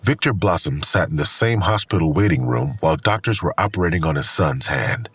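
A male narrator with a deep, extremely husky voice reads scripted drama prose. His intonation moves up and down freely, and the recording is dry and close. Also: animated, a little fast.

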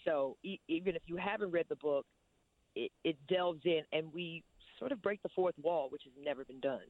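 A woman with a deep voice, a stage actress chatting casually in an interview, often saying "you know.". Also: moderate pace, dry recording, phone-quality sound. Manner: laid-back, with frequent "you know".

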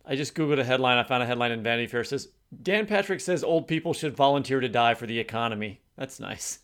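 A man's voice: mid-range voice, animated, fast, fairly bright tone, clear, resonant tone. A man co-hosting an interview podcast in a casual, informal, slightly wry conversational way. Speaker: podcast co-host.

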